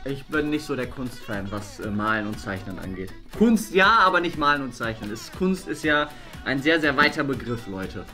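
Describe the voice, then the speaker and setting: A man in his thirties talks casually, his pitch swinging very widely. He pokes fun at himself and teases those around him. Faint music plays behind him. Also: very animated, moderate pace, pushed and bright. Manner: self-deprecating, teasing. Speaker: participant in a painting challenge. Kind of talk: casual chat. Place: indoor children's room. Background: background music.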